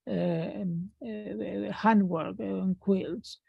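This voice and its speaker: A woman in her sixties from Argentina with an Argentine Spanish accent, speaking slowly on a video call and pausing often with fillers as she tells her story. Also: mid-range voice, fairly even intonation, creaky, husky, dry recording. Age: 60s. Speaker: artist guest. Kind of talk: remote panel talk. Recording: dull lo-fi video call audio.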